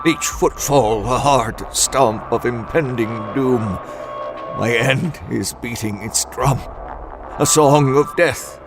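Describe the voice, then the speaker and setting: Brief: male horror narrator, unhurried and dramatic, mid pitch, over music. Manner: measured, dramatic, deliberate. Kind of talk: scripted first-person horror narration. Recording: music bed underneath.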